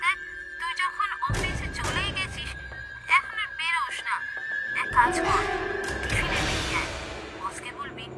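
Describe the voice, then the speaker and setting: A woman's voice: extremely high pitch, animated, a little slow, long pauses, pushed and bright, faint music behind, exaggerated voice. A woman voicing a concerned, chatty young female office colleague in an emphatic, fussing cartoon manner. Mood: cautioning. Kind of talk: cartoon dialogue acting.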